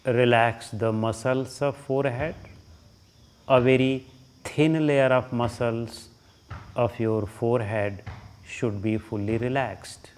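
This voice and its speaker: Very slow, smooth-voiced Indian man guiding meditation. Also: mid-range voice, steady tone, darkish tone. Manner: short instructive phrases with long pauses. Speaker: yoga teacher leading guided meditation. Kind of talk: guided relaxation meditation instruction.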